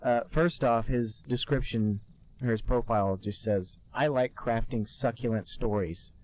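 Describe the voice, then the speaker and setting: A man co-hosting a comedy podcast, dropping dry, deadpan quips in casual chat. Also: medium pitch, unhurried. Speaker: podcast co-host.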